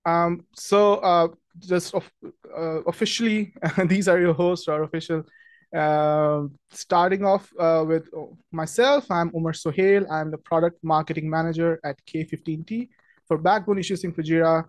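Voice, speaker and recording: Young man from Pakistan, friendly webinar host, slightly higher voice, dry close sound, many fillers. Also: steady tone, normal speed, dry recording. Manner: friendly, chatty, many ums and restarts. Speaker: webinar host, product marketing manager. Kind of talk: casual webinar presentation.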